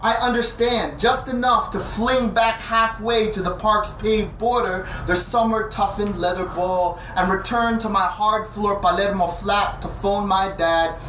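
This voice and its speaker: A man reading his poems aloud and telling the story behind one between them, with measured pacing and casual fillers. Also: high voice, steady tone, a bit bright. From New Jersey. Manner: measured reading with dramatic slowing on key phrases. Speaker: poet. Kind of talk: poetry reading with spoken introduction. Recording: roomy, distant live recording with background noise.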